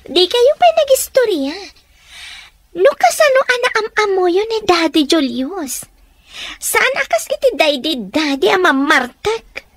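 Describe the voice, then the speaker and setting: A woman's voice: very high voice, very animated, unhurried, fairly bright tone, no room sound, clean studio sound, heavily acted. A woman playing a spoiled, snobbish young daughter in a radio drama, whiny and haughty with an Ilocano accent. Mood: curious, sly.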